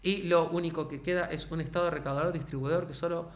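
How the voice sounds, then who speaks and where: A man's synthetic assistant voice giving a structured answer in even, declarative lists. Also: a little high, fast, reverberant. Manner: even, declarative list delivery.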